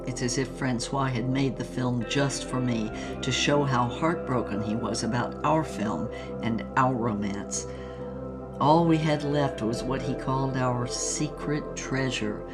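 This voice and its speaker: An older woman playing an elderly romantic in an audio drama, wistfully and theatrically recalling a lost love. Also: very deep voice. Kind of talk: audio drama memoir monologue. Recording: music under the voice.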